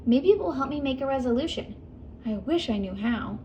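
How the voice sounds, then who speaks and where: A high, squeaky little squirrel from a picture book, eager and excitable, her voice leaping all over the place, as a woman reading aloud puts her on. She is a helpful, bright-eyed forest creature. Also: brisk, highs cut off, slight background noise. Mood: earnest.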